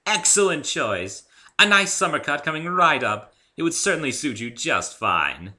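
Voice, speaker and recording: A refined lady unicorn, acted out by a male reader gushes and gossips in a story. The voice is pitched up, swooping and bright, with posh, dramatic airs and lingering emphasis on choice words. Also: very animated, normal speed, exaggerated voice. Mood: brisk, polite. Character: refined, theatrical female unicorn fashion designer, gushing and gossipy.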